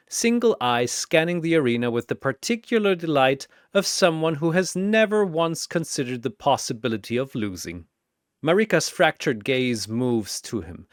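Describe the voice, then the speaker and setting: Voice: medium pitch, dry recording, studio quality. A narrator delivering dramatic scripted battle storytelling in an emphatic, portentous, announcer-like manner.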